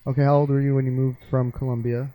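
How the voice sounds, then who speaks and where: Mellow, laid-back male interview host. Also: medium pitch, flat delivery, fast talker, poor audio. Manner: easygoing, laid-back questioning. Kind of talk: casual interview.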